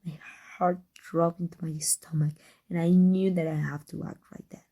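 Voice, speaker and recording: A woman with a Mexican accent playing a proud, secretly devoted villainess in an intimate ASMR roleplay, halting and emotional. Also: fairly low pitch, steady tone, mellow. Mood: hushed, pained confession. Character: proud, flustered, secretly devoted villainess with life-transfer power.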